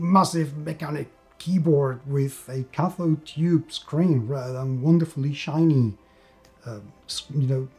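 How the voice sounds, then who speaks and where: A man with a fairly high-pitched but dark, relaxed voice reviews a tabletop game. He speaks off the cuff with enthusiasm, stressing his points by repeating words. Faint music plays behind him. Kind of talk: enthusiastic unscripted review commentary.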